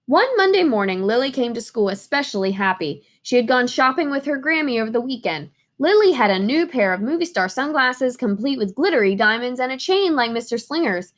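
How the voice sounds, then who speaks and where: High-voiced woman reading a children's storybook. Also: very animated, fairly quick, hardly any pauses. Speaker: teacher reading a storybook aloud. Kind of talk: expressive children's storybook narration.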